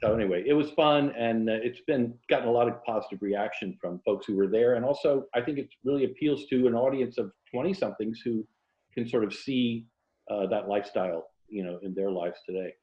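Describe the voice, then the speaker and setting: A man's voice: medium pitch, brisk. Middle-aged or older man, roomy dull recording. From Tampa, Florida. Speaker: interview guest, writer. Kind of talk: casual conversational interview.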